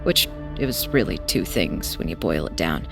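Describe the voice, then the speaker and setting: A woman playing a weary, idealistic former smuggler in an audio drama, telling their past in a hesitant, wistful way with pauses. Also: extremely low pitch, animated, vocal fry, over music, full character voice. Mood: reflective, storytelling. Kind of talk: audio drama acting, halting first-person testimony.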